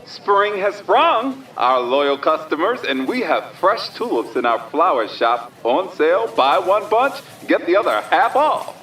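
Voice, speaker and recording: Audio drama: male supermarket announcer, lively highish voice, promotional, distant PA over music. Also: a little slow, hardly any pauses, heavily acted. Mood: salesy, upbeat. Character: chirpy male supermarket PA announcer pitching specials. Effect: store public-address speaker.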